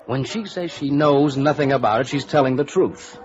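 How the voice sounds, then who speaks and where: A man's voice: medium pitch, moderate pace, close to an everyday voice. An actor playing a stubborn, belligerent fiancé who defends his sweetheart, insistent and defensive, in 1950s radio drama style. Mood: defensive, indignant. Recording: dull vintage radio recording with music.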